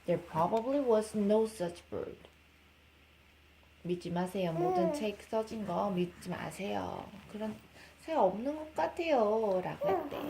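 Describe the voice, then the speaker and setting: Casting: young mother, Korean native with Korean accent, mid-pitched, reading a storybook to baby; slightly noisy home recording. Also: darkish tone. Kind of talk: storybook read-aloud with informal baby talk.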